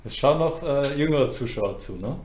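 A man's voice: medium pitch, animated, normal speed, background noise. Man streaming in an echoey room. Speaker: chess streamer and host. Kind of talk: casual livestream chat while playing chess. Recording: roomy, echoing sound.

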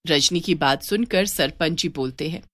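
A woman's voice: low voice, moderate pace, a bit bright, dry recording, clean studio sound. A woman narrating a scripted story as its narrator.

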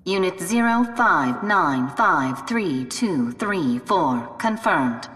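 An actress voicing a cold female security machine that makes slow, flat announcements. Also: fairly low pitch, long reverb tail, soft background music. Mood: warning.

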